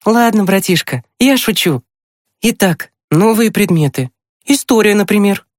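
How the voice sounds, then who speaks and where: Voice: mid-range voice, lively intonation, measured pace, no room sound, studio quality, pitched above the performer's own voice, lightly acted. An audiobook narrator voicing a teasing, dramatic teenage girl, the older sister in a children's story. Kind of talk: acted audiobook dialogue.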